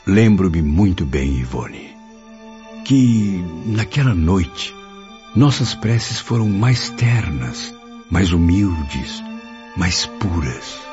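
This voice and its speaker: A man's voice: deep voice, sing-song, very slow, heavily acted. A man playing a humble, remorseful writer spirit in a radio drama, with a reverent, florid, hesitant manner. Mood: wistful. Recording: dull lo-fi audio, faint music.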